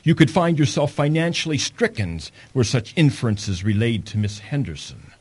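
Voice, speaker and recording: An actor playing a stiff, formal butler in a radio mystery, haughty and clipped, with menace beneath the servility. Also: male voice, medium pitch, very animated, moderate pace, a little mellow, breathy edge. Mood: veiled menace.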